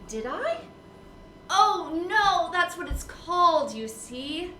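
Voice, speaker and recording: Woman playing a high-voiced, flighty, vain film starlet. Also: sing-song, slow, bright, forceful tone, slight background noise, full character voice. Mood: coy, dramatic. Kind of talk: comic noir audio drama acting. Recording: roomy echo, music underneath.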